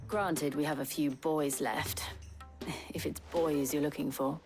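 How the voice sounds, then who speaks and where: A woman's voice: deep voice, fairly even intonation, measured pace, a little mellow, faint music behind. A woman playing a proud, tough mercenary commander in a fantasy game, blunt and dry in delivery. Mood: cool, scornful. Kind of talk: dramatic video game acting.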